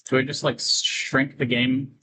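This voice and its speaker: A man doing casual livestream commentary on a game, reading on-screen text aloud and halting to break into asides. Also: mid-range voice, level tone, background noise.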